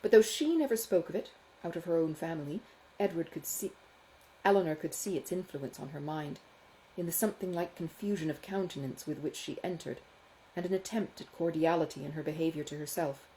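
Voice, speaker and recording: A woman reading a classic novel as an audiobook, expressive and carefully phrased in a measured literary manner. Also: deep voice, a little hiss or hum, low-quality recording. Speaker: audiobook narrator, author.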